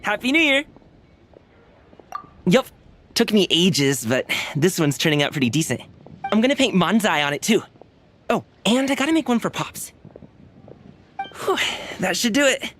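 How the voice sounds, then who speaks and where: Upbeat youngster, very animated. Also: female voice, mid-range voice, normal speed, pauses often, slight background noise. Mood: upbeat, relaxed. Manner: slangy, easygoing, enthusiastic. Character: casual, upbeat, friendly youngster at a festival. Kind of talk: video game character dialogue.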